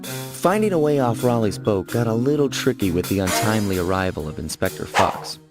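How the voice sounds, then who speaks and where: A man's voice: lowish voice, animated, fairly quick, loud music behind the voice, natural voice. A man voicing a cool, confident young raccoon master thief in a cartoon video game, breezy and wisecracking. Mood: narrating.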